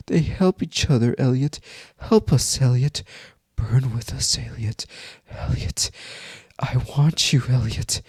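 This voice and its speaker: A man's voice: mid-range voice, expressive, slow, darkish tone, breathy edge, no room sound, voice pushed up. A man voicing a sinister children's-show bear character in a sickly sweet, coaxing storybook manner. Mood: creepy pleading. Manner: sing-song storybook coaxing.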